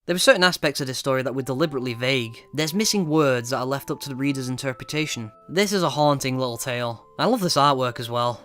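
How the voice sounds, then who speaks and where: A man's voice: medium pitch, quick pace, hardly any pauses, fairly bright tone. A man reading a scripted video game review as a voice-over. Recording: voice-over with music behind. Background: music.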